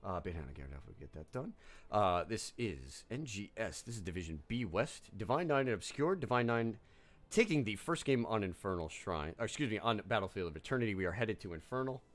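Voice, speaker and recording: Animated man casting a live game. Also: lowish voice. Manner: animated, quick asides and restarts. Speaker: esports caster.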